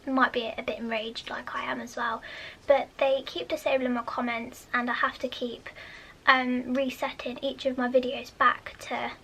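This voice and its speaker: A woman with an English accent and a soft, high-pitched, childlike voice, chatting casually in a vlog and often dropping to a whisper. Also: little pitch movement, moderate pace, gravelly, breathy edge. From United Kingdom. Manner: chatty, rambling with fillers. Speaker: vlogger.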